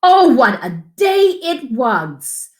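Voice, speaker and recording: A woman's voice: sits high, sing-song, drawn-out words, a little mellow. A woman reading a picture book aloud to children as a storyteller, with dramatic emphasis and asides to her listeners.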